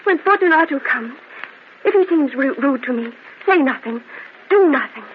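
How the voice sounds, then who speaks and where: An actress in an old-time radio drama playing an anguished, devoted wife of a cruel husband, speaking with melodramatic pleading. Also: female voice, high-pitched, animated, moderate pace. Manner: melodramatic, breathless pleading and exclaiming. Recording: muffled, noisy old radio drama recording.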